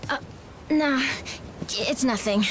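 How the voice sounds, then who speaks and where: A young boy from a video game, with a high, youthful voice. He sounds earnest and adventurous, a kid hungry for freedom. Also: takes it slowly, slight background noise. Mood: bashful.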